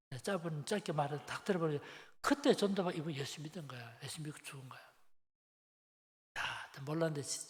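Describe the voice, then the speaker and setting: Korean guy in his 70s preaching, busan accent, kinda high voice, big echoey church hall. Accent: Busan Gyeongsang. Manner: colloquial, rhetorical questions to listeners, repeats phrases for emphasis. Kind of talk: Sunday afternoon sermon, conversational storytelling. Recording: long hall reverb.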